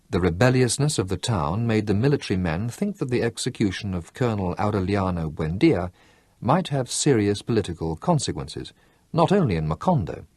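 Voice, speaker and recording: Husky low-voiced male narrator. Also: no room sound, clean studio sound. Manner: measured, expressive storytelling. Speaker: audiobook narrator. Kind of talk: scripted narration.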